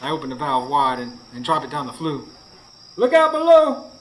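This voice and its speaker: An actor playing an anxious, determined husband who narrates a ghost hunt in a folksy, urgent way in a live radio drama. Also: male voice, a little high, wildly swooping pitch, moderate pace. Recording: live stage recording, clear background noise.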